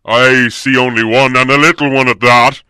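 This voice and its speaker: A man voicing a huge, gruff, suspicious bear man in a booming, gravelly voice for a storybook reading. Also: steady tone, bright, forceful tone, no room sound. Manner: slow, blunt, grumbling.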